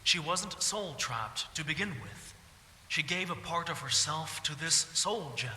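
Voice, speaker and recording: A man playing a brooding bard and former royal mage advisor in a fantasy game, speaking in measured, solemn, poetic phrases. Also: a little high, animated, pushed and bright, a little hiss or hum, full character voice. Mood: grave, cautious. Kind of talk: fantasy game roleplay dialogue. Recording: echoey hall, music playing behind.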